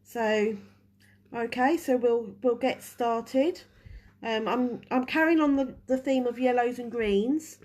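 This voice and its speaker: A woman's voice: fairly high pitch, slow, darkish tone. A woman with a head cold and a stuffy voice, chatting through a live nail-art tutorial while she works. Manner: chatty, restarts while working. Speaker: nail technician teaching a live class.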